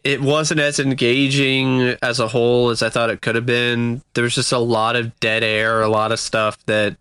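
A man gives his critical take on a film in relaxed podcast conversation, weighing his points and pausing to find the right word. His voice is bright and clear, recorded close and dry with no room sound. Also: mid-range voice, no echo. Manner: measured, critical, searching for words. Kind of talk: casual film review chat.

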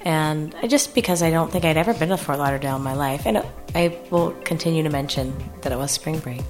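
A woman with an American accent telling a casual, humorous anecdote in a halting way, often interrupting herself. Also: sits low, soft background music. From US East Coast. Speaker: improv comedy troupe guest storyteller.

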